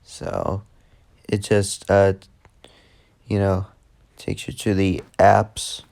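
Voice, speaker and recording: A man giving a casual, unscripted tech demo in a halting, repetitive way that tends to trail off. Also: sits low, flat delivery, creaky, darkish tone. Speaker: video host demonstrating a walkie-talkie app.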